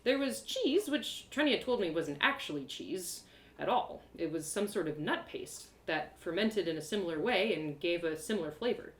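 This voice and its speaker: A woman narrating a first-person adventure story in an expressive, theatrical way with dramatic pauses. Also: fairly low pitch, echoey room. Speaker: storyteller performing own one-woman audio drama.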